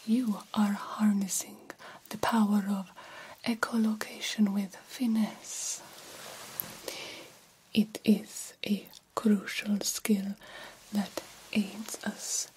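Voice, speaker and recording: A woman voicing a formal, grandiose vampire doctor in a breathy ASMR whisper, slowly and theatrically mentoring a fledgling. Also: mid-range voice, flat delivery, dark tone, slight background noise, lightly acted, poor audio. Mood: coaxing, instructive. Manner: slow, deliberate, formal and theatrical. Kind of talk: ASMR roleplay.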